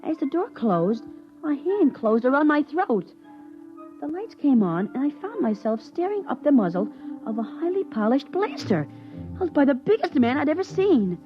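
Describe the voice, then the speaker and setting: A man's voice: extremely high pitch, big pitch swings, normal speed, soft background music. An actor playing an eager, cheeky thirteen-year-old boy in a 1950s science fiction radio drama, with brash boyish slang. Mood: narrating. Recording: muffled vintage 1950s radio recording.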